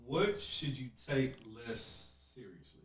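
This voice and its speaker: A man's voice: medium pitch, sing-song, slow. Distant, echoey man talking reflectively. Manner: colloquial, reflective, checks listener understands. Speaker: podcast host. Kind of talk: casual conversation. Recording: roomy echo, off mic.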